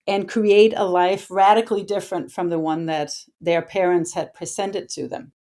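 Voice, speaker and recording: A woman in her fifties from Denmark with a Danish accent, a historian introducing her book with measured enthusiasm. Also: medium pitch, darkish tone. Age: 50s. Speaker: author and historian.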